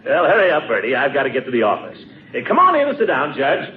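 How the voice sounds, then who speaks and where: A man's voice: medium pitch, huge pitch swings. A tinny, hissy old radio recording of a blustering middle-aged uncle. He is pompous and easily riled, his voice swooping widely as he stretches out his exclamations in radio comedy style. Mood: needling. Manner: pompous, exclamatory, drawn-out words. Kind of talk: 1940s radio comedy acting.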